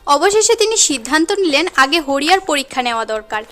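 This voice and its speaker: A woman's voice: sits high, big pitch swings, brisk, fairly bright tone, faint music behind. A woman reading scripted storybook narration for a cartoon tale.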